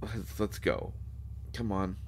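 Low, unhurried male vampire doctor acted by a man. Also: animated, creaky, slight background noise, full character voice. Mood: patient, caring. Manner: soft, unhurried, hesitant, gently teasing. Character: caring, dryly teasing male vampire doctor friend. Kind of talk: intimate roleplay audio drama, casual one-sided dialogue.

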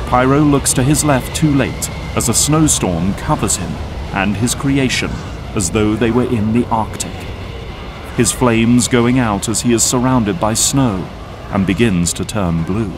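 A man's voice: lowish voice, measured pace, background noise. A man narrating an action audio drama from a script.

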